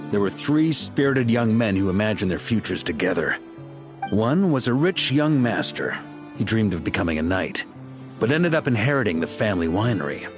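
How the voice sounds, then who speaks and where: A man playing a seasoned knight commander in a video game, measured, stern and dryly sardonic. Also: fairly low pitch, lightly acted. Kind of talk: dramatic video game voice acting.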